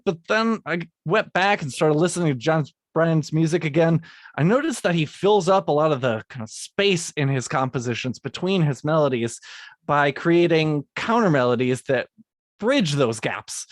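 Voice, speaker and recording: A man's voice: fairly high pitch, dry recording, studio quality. Composer walking through his track. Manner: restarts and corrects his script lines. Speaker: music composer guest. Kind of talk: explaining his music process, partly read.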